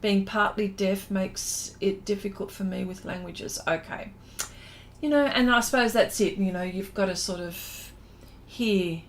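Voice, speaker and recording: A middle-aged woman with an Australian accent hosts an informal livestream. Her voice sits at an average pitch for a woman, and she talks in a chatty, meandering way, often leaving thoughts half finished. There is faint noise in the recording behind her. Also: steady tone, unhurried. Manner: chatty, rambling, trailing off mid-thought. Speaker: livestream host, artist. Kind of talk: casual livestream chat, reading out viewer comments.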